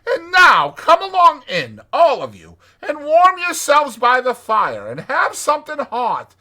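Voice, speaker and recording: A man voicing a hearty, masterful water rat gentleman in a storybook, with a brisk, commanding manner. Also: very high-pitched, huge pitch swings, pitched above the performer's own voice, heavily acted. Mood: hearty, welcoming.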